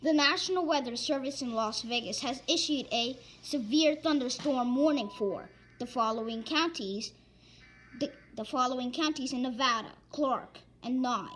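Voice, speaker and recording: A woman reading an amateur emergency weather bulletin in a halting, stumbling way, often repeating phrases. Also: high voice, fairly bright tone, a little hiss or hum, rough recording. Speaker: announcer of mock emergency alerts. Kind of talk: amateur weather alert bulletin read aloud.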